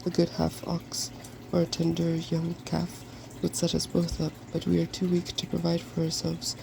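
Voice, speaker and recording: Softspoken reader as a gloomy, creaky old hippogriff. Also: medium pitch, completely flat delivery, normal speed. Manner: plaintive, hesitant. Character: weak, hungry old hippogriff, gloomy and doubtful. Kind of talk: fairy tale storybook reading. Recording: clearly noisy background.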